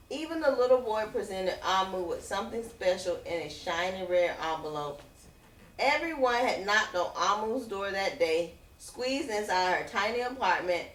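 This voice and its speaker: Lady doing a kids book read aloud, super expressive, echoey and kinda far from the mic. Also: medium pitch. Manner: expressive, dramatic pauses and drawn-out words. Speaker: picture book reader. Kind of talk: animated children's read-aloud storytelling. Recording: roomy echo, distant from microphone.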